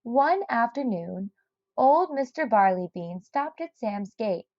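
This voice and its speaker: Woman reading a kids book out loud, takes it slow, dry dull audio. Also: on the high side, animated, no echo. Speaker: storybook reader. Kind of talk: expressive children's read-aloud narration.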